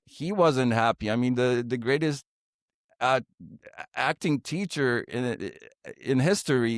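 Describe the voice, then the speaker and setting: A man from Bosnia, a theater director and actor, talking in a casual interview, halting with many fillers and restarts. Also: mid-range voice, clear, resonant tone, dry recording, clean studio sound. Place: podcast studio.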